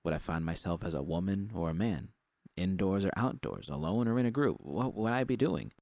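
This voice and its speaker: Very deep, gravelly male story narrator. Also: expressive, a little fast, a little mellow, no echo, studio quality. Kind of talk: first-person scripted fiction narration.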